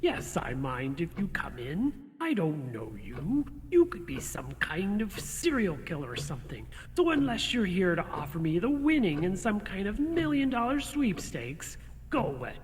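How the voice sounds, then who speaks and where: A woman's voice: fairly low pitch, big pitch swings, normal speed. A woman playing a grumpy, sarcastic, suspicious husband in a radio comedy, with a dry, exasperated manner. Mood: irritated. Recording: reverberant hall, faint background noise.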